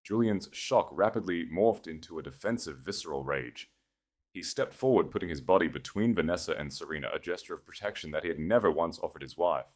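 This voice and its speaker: Kalın sesli erkek hikâye anlatıcısı. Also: male voice, sits low, animated, brisk. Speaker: audiobook narrator. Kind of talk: dramatic scripted fiction narration.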